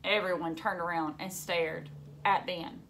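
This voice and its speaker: A woman's voice: fairly low pitch, steady tone, a little slow, fairly bright tone, a little hiss or hum. A woman in her thirties reading a children's novel aloud like a teacher, expressively, with casual asides. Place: furnished living room at home.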